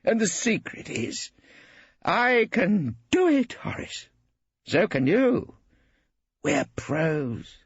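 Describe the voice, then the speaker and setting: A man voicing a hearty, boisterous old male artist who talks in a booming, theatrical way, far higher than his own voice. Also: very animated, very slow, vocal fry, long pauses, slightly rough, no echo, muffled recording, exaggerated voice.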